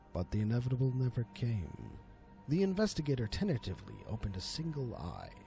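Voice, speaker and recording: A man narrating a horror story in a theatrical, deliberate manner, as an amateur storyteller and voice actor. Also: lowish voice, vocal fry, dark tone. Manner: theatrical, deliberate, swooping delivery.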